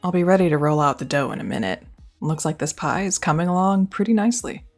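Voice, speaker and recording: Young woman doing a girlfriend roleplay, low voice w some fry, soft music behind. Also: expressive, quick pace. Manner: affectionate, teasing, reassuring. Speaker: audio roleplay performer.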